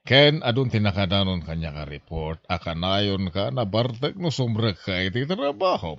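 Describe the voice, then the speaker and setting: A man's voice: lowish voice, sing-song, normal speed, creaky, fairly bright tone, no echo, exaggerated voice. A man playing a stern, disappointed boss who lectures, in an amateur audio drama. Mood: stern, reproving. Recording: dull, dry lo-fi podcast audio.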